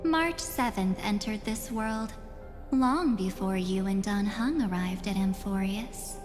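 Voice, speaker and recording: A woman performs a mysterious, softly teasing young lady from a video game. She takes her time in a mid-pitched, coy voice that trails into breath. It rings with long reverb over music. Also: close to an everyday voice. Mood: solemn, wistful. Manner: soft, coy, unhurried, with breathy trailing ends. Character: enigmatic, gentle, teasing shadowy young woman of memory. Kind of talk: dramatic video game dialogue. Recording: reverberant hall sound under music.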